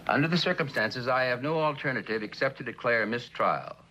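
An actor playing a grave, authoritative judge speaking formally in a 1960s television courtroom drama. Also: male voice, mid-range voice, animated, fairly quick, pushed and bright, highs cut off, slight background noise. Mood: grave, official.

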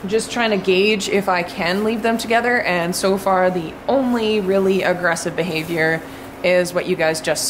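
A young woman vlogging casually from inside a large goat barn, filming herself at arm's length and explaining her animals in a relaxed, chatty way. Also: on the low side, background noise. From Canada. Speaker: farm vlogger. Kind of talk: casual vlog talk to camera. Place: indoors in a large goat barn with straw pens. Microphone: handheld camera at arm's length.

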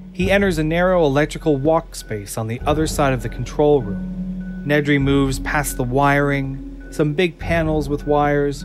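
A man narrating an audio drama from a script, describing the action. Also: a little high.

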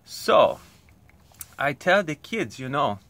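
A man tells a comic story. His voice is bright and forceful. He talks in an earnest, rambling way, with many pauses. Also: a little high, sing-song, no echo. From Poland. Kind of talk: comic monologue.

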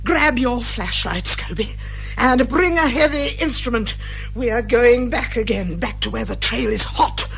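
An actress playing a brisk, commanding older British lady detective in a theatrical radio detective comedy. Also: a little high, lively intonation, vocal fry, fairly bright tone, soft background music, full character voice. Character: bossy, eager older lady amateur detective, a retired major.

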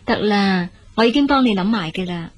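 An actress playing a practical, dutiful elder sister in a Cantonese radio drama, frank, brisk and reassuring. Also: female voice, medium pitch, lively intonation, natural voice. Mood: reassuring, firm.

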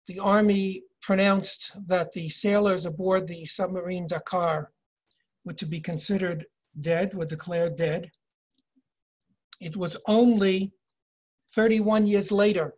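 An older man in his sixties leading a virtual tour lecture from home over a video call, measured and explanatory, reading inscriptions slowly. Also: high-pitched. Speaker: tour guide and educator. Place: home office, indoors. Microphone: video call webcam.

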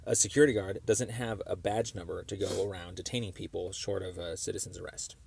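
A man making a phone call, explaining a complaint in a halting, wordy, circling way. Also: sits low, steady tone, creaky, a little mellow, breathy edge. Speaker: caller. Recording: noisy phone call recording.

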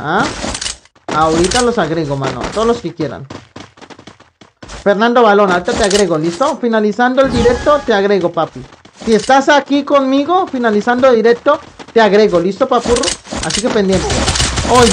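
A man's voice: high-pitched, background noise. A young Colombian man streaming a game live on a headset mic, greeting viewers by name and calling them brother. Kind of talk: live gameplay stream chat. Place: small room at home.

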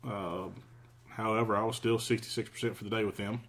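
A man with a lowish voice, recording in a small home studio and recapping games for a casual podcast. He talks haltingly, with many pauses, and teases his co-host drily. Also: fairly even intonation, a bit bright. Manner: halting, lots of uh fillers, dry teasing.

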